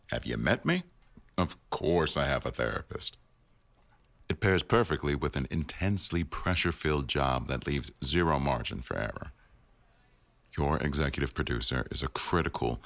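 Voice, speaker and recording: Very deep, gravelly boss played by a man. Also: no room sound, heavily acted. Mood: candid, dry. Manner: measured, dry, gently teasing. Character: attentive male boss, dry-witted news executive producer. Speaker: audio roleplay performer. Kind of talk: intimate boyfriend ASMR roleplay.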